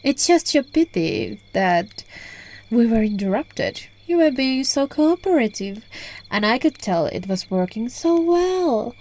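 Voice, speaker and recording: A woman's voice: a little high, very animated, a little mellow, heavily acted. A woman voicing a seductive, teasing, controlling witch in an ASMR roleplay, coaxing the listener, recorded on a phone microphone. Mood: amused, menacing. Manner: slow, coaxing, breaking into whispers and giggles.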